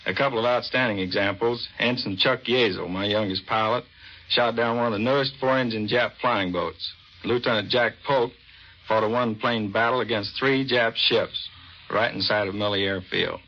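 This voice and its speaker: A man's voice: fairly low pitch, fairly even intonation, moderate pace. An American man, a navy commander, giving a plain, matter-of-fact scripted interview on 1940s radio. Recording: dull vintage 1940s radio recording.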